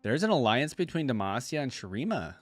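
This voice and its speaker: A man's voice: medium pitch, big pitch swings, brisk, soft background music. A man hosting a reaction video, musing aloud in informal, casual commentary.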